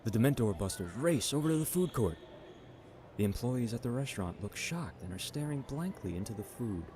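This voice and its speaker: A man's voice: mid-range voice, very animated, fairly quick, darkish tone, slight background noise. A man narrating a scripted radio drama in a dramatic storytelling manner. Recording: music bed behind the voice.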